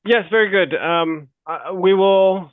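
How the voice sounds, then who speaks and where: A man's voice: high-pitched, slow pace, clear, resonant tone, no echo. American man on a tinny webinar call. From United States. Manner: hesitant, many fillers and restarts. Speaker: lender representative answering questions. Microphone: video call. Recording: phone-like call audio.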